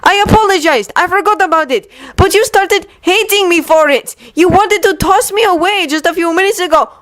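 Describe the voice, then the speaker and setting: A woman's voice: high voice, sing-song, moderate pace, no room sound, pitched above the performer's own voice. Frustrated, bitter man, raised and pushy , played by a woman narrator. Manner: defensive, bitter retorts. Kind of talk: dramatic story reading.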